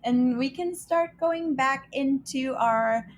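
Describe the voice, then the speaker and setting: A woman's voice: sits high, slow pace. A woman from El Salvador co-hosting a podcast in a casual, chatty way, reading short news items and reacting to them. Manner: upbeat, chatty, reads news items aloud. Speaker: podcast co-host.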